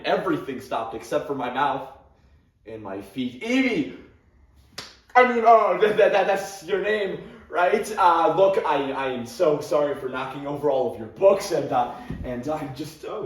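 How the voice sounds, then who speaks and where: A man's voice: fairly high pitch, expressive, normal speed, lightly acted. A young man playing an awkward, grieving male English teacher who stammers, repeats his words and gives nervous little laughs, in a dramatic monologue. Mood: flustered. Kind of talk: competitive dramatic interpretation monologue. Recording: roomy sound from a distant camera.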